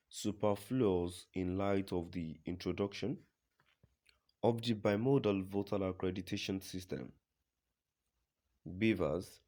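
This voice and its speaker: A man reading scripted news reports in a formal, deliberate manner as a news reader. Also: low-pitched, dark tone.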